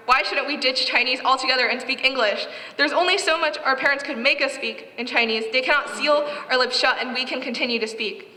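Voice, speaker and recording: A woman's voice: on the high side, pushed and bright. A teenage girl reading her own prose aloud at a live reading, earnest and reflective with wry asides. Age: teens. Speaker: student writer reading her own story. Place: event hall. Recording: echoey hall with long reverb.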